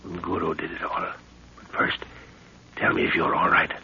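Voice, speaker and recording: A man's voice: low voice, level tone, moderate pace, creaky, bright, forceful tone, heavily acted. A middle-aged man playing a resolute father and jungle adventurer, clipped, urgent and commanding, in 1930s radio drama style. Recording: dull, hissy 1930s radio recording.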